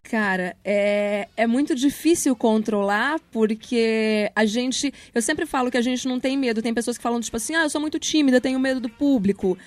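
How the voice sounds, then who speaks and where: A woman's voice: on the high side, moderate pace, hardly any pauses. A woman in her thirties with a Brazilian accent, talking casually as an interview guest and explaining things in a chatty way with examples. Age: 30s. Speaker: radio professional, interview guest.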